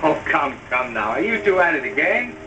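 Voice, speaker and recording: Young husband: soothing peacemaker, expressive pitch, vintage drama, distant over music. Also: male voice, moderate pace. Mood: coaxing, cheerful. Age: young adult. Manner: soothing, conciliatory. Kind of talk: 1930s-style radio drama acting.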